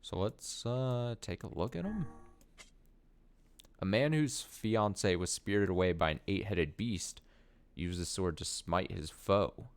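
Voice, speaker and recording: A man streaming a video game, chatting excitably and reading item descriptions aloud. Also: sits low, measured pace, smooth, faint music behind. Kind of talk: casual gameplay commentary.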